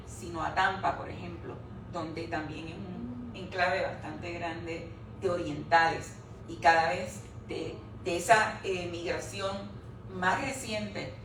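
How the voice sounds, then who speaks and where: A middle-aged woman, standing to present her research chapter to an audience at a book presentation, explaining it step by step. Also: on the low side. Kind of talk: academic talk at a book presentation. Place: room at a live presentation event. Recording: echoey room, distant mic, background noise.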